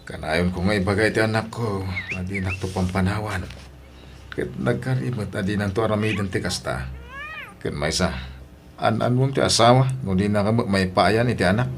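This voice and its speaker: A man's voice: fairly low pitch, moderate pace, a little hiss or hum, natural voice. A man with a Filipino accent playing a devoted, hardworking widowed father in an audio drama. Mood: firm. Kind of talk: Ilocano audio drama acting.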